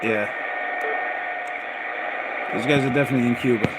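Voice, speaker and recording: A man hosting a casual radio hobby livestream, talking in a halting, rambling, folksy way. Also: mid-range voice, fairly even intonation. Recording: loud radio static and noise. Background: noisy shortwave radio signal.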